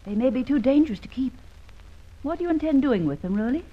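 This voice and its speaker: An actress playing a curious, sensible lady confidante in an old radio serial, inquisitive and gently sceptical. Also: sits high, lively intonation, quick pace, lo-fi sound. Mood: concerned, curious. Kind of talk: old radio drama acting.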